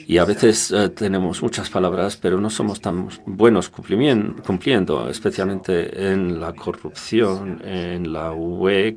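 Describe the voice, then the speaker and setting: A man with a low, deep voice interpreting a conference talk as it happens. He stops and restarts words often and fills gaps with hesitant sounds. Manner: halting, frequent restarts and filler sounds.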